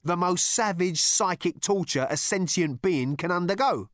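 A man's voice: high voice, animated, normal speed, dry recording, clean studio sound, pitched above the performer's own voice. A man reading an audiobook, voicing a grim space conspirator with an emphatic, ominous way of talking. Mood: urgent, ominous. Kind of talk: audiobook character voice.

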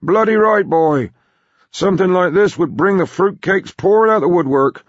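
A cantankerous old man, pitched far up and pushed loud. He is domineering, blunt and sardonic, an old tycoon who talks in emphatic bursts, in a dry, slightly dull recording. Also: moderate pace, dry recording. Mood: gruff, emphatic. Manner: blunt, emphatic, mildly coarse.

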